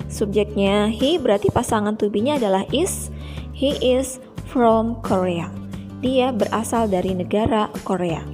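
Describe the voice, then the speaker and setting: A young woman teaching English to primary school children, slowly and carefully repeating each example sentence. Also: medium pitch. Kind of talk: teaching English in a video lesson. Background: background music.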